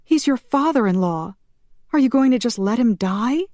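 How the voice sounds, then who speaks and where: A woman's voice: a little high, lively intonation, normal speed, darkish tone, no room sound, clean studio sound, voice pushed up, lightly acted. A woman narrator voicing a distraught young woman in an audiobook, tearful, pleading and indignant. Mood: frantic, demanding. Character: distraught young vampire woman pleading for her dying father.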